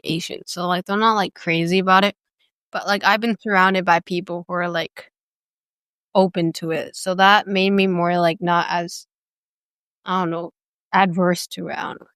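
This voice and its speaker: Sex: woman. Origin: United States. Role: podcast host. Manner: rambling, giggly, full of fillers. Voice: smooth and clear, mid pitch. Room: dry. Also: steady tone, normal speed, a bit bright, dry recording. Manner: rambling, giggly, heavy use of "like". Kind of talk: casual chat.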